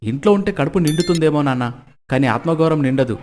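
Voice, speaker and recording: A man voicing a determined young village man, a devoted son in an animated moral story, speaking with earnest resolve. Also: on the high side, quick pace, a little hiss or hum, close to an everyday voice.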